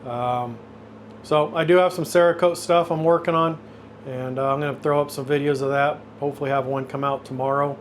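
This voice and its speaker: A man's voice: a little high, steady tone, a little fast. Man in his fifties, noisy recording. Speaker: host of a YouTube channel. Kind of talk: casual unscripted talk, intro and phone interview.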